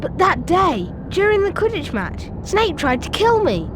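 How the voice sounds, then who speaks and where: A woman's voice: very high voice, very animated, measured pace, noisy background, full character voice. A performer playing an earnest, defiant young boy wizard in a video game, with music behind the voice. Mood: earnest, nervous.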